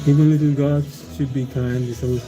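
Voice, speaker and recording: A man's voice: mid-range voice, flat delivery, fairly quick, music in the background, low-quality recording. A man chatting casually on a livestream, meandering and hesitant, with many fillers and restarts and frequent chuckles. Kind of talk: casual rambling stream chat.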